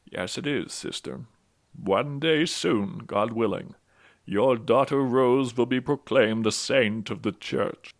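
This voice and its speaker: A man playing a courteous, solemn old priest in an audio drama, speaking in a formal, measured way. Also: medium pitch, no room sound, studio quality. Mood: gentle, formal.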